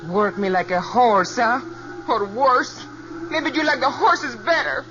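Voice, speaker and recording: A woman's voice: fairly high pitch, slow. An actress playing a saucy young woman stowaway in a 1950s radio western, wheedling and sulky. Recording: muffled vintage radio, loud music bed.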